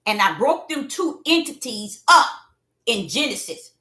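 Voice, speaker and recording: A woman preaches on a livestream, repeating her points and building to fervent cries. Her voice is bright and high, and it moves freely up and down. She speaks slowly, and the room around her echoes. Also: very animated. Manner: preachy, repetitive, rising to fervent shouts. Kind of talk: livestream sermon.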